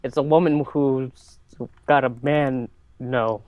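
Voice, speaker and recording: A man's voice: medium pitch, fairly even intonation, takes it slowly, clean tone, no room sound, phone-quality sound. A man chatting casually as a player in a tabletop game. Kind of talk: casual chat.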